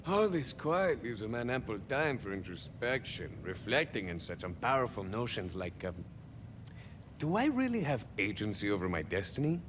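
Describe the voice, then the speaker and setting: An actor playing a swaggering young cyborg soldier of fortune in a video game, a touched flavor, musing theatrically and landing wry deadpan punchlines. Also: male voice, lowish voice, moderate pace, in a large hall, noisy background. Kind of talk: video game character monologue.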